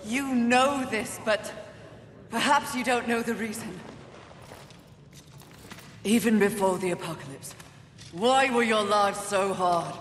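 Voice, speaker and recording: A woman's voice: medium pitch, slow pace, pauses often, lightly acted. A resolute warrior played by a woman speaks with solemn, formal weight, as in a dramatic game cutscene. Her declarations ring through a reverberant hall, with faint noise behind them. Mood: urgent, persuasive. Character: stern, resolute female horseman warrior sworn to protect humanity.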